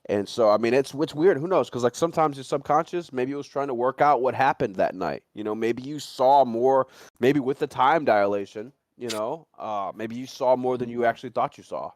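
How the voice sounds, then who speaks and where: A man's voice: medium pitch, brisk, no echo, studio quality. A man hosting a livestream, chatting casually in a rambling, slangy way with frequent swearing. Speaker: livestream host. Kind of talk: casual chat.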